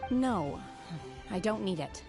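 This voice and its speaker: A woman's voice: mid-range voice, lively intonation, slow pace, music in the background. An actress playing an aloof, threatening young woman in a video game, curt and cold. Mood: cold threat. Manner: curt, icy.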